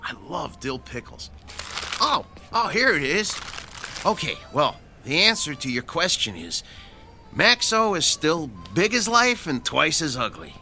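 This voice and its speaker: Whiny, lively mechanic sidekick, over music. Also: male voice, fairly high pitch, measured pace, gravelly, heavily acted. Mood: grumbling. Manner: whiny, joking. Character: hungry, grumbling male mechanic sidekick. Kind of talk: 1960s-style radio drama acting.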